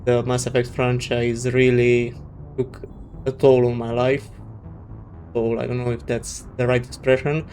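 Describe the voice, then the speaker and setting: A man's voice: mid-range voice, monotone, a little slow, a little mellow, soft background music. A man from Romania with a Romanian accent, a native Romanian speaker doing casual, hesitant let's play commentary. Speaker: gaming channel host.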